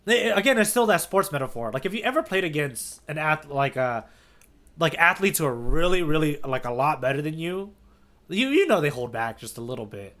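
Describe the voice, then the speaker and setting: A man with a fairly high voice and lively, swooping intonation. He chats casually as a podcast co-host, enthusiastic and slangy as he digs into manga. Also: a little fast. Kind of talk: casual manga readalong discussion.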